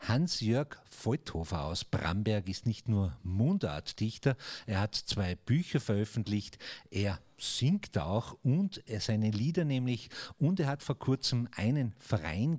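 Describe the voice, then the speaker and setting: A man's voice: lowish voice, sing-song, measured pace, hardly any pauses, darkish tone, breathy edge. A man hosting a regional radio interview, asking long conversational questions with frequent fillers. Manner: conversational, many fillers and restarts. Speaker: radio host.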